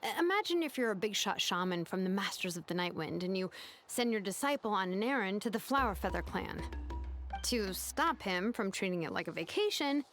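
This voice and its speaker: Casting: actress for smart, laid-back young female engineer in a game, mid register, expressive intonation. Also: mid-range voice, lightly acted. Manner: casual, analytical, explanatory. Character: brainy young female mechanic, casual and thoughtful. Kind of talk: video game voice acting.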